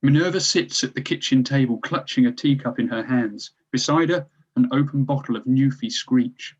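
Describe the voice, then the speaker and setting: A man's voice: mid-range voice, normal speed, breathy edge, highs cut off. A man reading stage directions as scripted narration for a screenplay table read.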